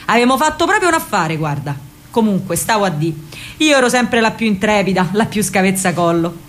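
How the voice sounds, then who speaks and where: A woman's voice: fairly high pitch, a bit bright. An actress playing a feisty, tomboyish young partisan courier, telling her story straight to listeners in a chatty, colloquial Roman accent. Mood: wry, reminiscing. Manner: colloquial, brash, chatty, directly addressing listeners. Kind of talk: theatrical monologue in dialect, storytelling to listeners.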